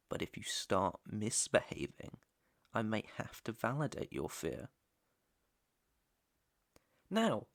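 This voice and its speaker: A British man playing a menacing, teasing mob boss's son in an intimate ASMR roleplay, with a deliberate, taunting delivery. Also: medium pitch. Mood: smug, teasing. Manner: slow, deliberate, taunting, swearing. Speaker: roleplay audio performer.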